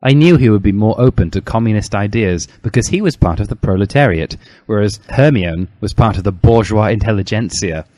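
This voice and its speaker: A man's voice: fairly low pitch, expressive, fairly quick, few pauses, a little mellow, dry recording. A man narrating a comic dramatic reading of a first-person story.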